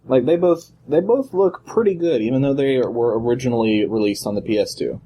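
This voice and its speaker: Husky deeper-voiced young man bantering. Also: fairly quick, mellow. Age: young adult. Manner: teasing, joking banter. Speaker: podcast co-host. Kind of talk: casual podcast chat.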